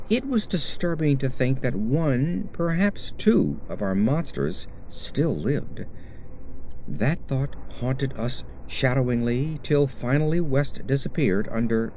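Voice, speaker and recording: A man reading a horror story as an audiobook narrator, in a measured, literary first-person storytelling manner. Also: medium pitch, dark tone, slight background noise.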